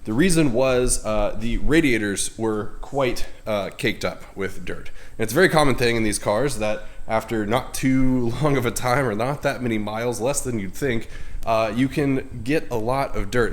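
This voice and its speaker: A man's voice: on the low side, moderate pace. A young man with a head cold and a congested voice, chatting casually as host of his car channel in his home garage, rambling and poking fun at himself. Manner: chatty, self-deprecating, rambling with fillers. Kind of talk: casual garage vlog talk.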